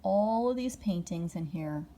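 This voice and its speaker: Slow lady reading a kids bedtime story, mellow and a bit low, stretches words out. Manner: expressive, drawn-out words, hushed moments. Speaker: storybook reader. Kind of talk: bedtime story read-aloud.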